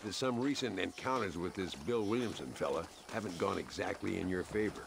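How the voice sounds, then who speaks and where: An actor playing a proud, principled old American gunslinger in a video game, gravelly, folksy and sardonic, speaking with unhurried authority. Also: male voice, deep voice, animated, noisy background. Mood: steady, authoritative. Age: older. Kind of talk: video game cutscene acting.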